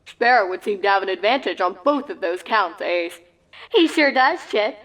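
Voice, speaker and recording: Woman putting on an animated pony sports announcer. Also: mid-range voice, normal speed, close to an everyday voice, rough recording. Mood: analytical, upbeat. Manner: punchy, announcer-like, straight-faced. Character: excitable pony sportscasters calling a championship live. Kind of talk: sports commentary parody.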